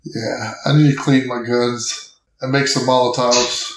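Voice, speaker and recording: A man's voice: mid-range voice, level tone, a little slow. A man playing in a tabletop role-playing podcast, speaking in character in short, emphatic statements, with a roomy echo. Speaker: player in an actual play podcast. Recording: echoey, roomy sound.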